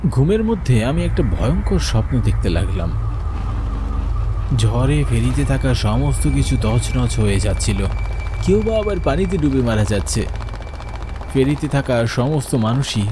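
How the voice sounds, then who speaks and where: A man playing an earnest young peddler who narrates a ghostly cartoon horror story in an expressive, dramatic way. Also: medium pitch, lightly acted. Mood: fearful. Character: earnest young male cloth peddler narrating his ghostly ferry journey. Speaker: first-person narrator. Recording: music behind the voice.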